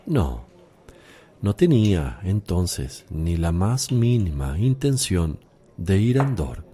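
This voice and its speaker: A man playing Jesus in a religious radio drama, a calm, solemn teacher who speaks in a measured, firm way. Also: low-pitched, exaggerated voice.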